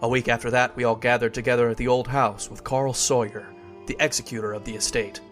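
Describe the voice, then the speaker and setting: A man's voice: mid-range voice, little pitch movement, quick pace, fairly bright tone, faint music behind, natural voice. A man acting as an uneasy heir who narrates a mystery in an old-time radio horror style. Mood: brooding.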